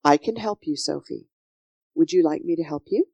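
A woman voicing a patient, kindly king in a children's storybook, measured, reassuring and quietly authoritative. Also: sits low, vocal fry, no echo. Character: gentle, solemn kingly man, patient and reassuring.

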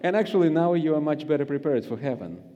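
A man's voice: on the high side, lively intonation, fast, mellow, in a large hall, highs cut off. A man with a Russian accent, a guest preacher giving a friendly, joking sermon with many fillers and reading scripture.

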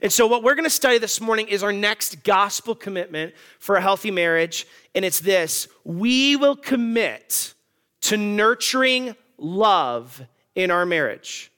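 A man's voice: sits high, lively intonation, measured pace, bright, forceful tone, clear, resonant tone. A man in his thirties preaching a sermon on marriage in a conversational, joking way, asking the congregation questions. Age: 30s. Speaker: pastor.

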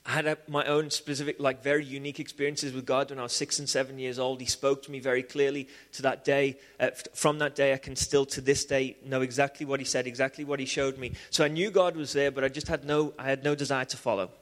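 A man's voice: medium pitch, fast talker, few pauses. Liverpool man preaching in a reverberant hall. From England. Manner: animated, many restarts and "um"s. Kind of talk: sermon with personal testimony.

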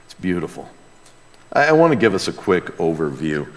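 An American man preaching a conversational sermon as a pastor, earnest and emphatic, with hushed asides and rhetorical questions. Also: deep voice, sing-song, a little fast. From United States. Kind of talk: sermon, conversational Bible teaching. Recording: long hall reverb.

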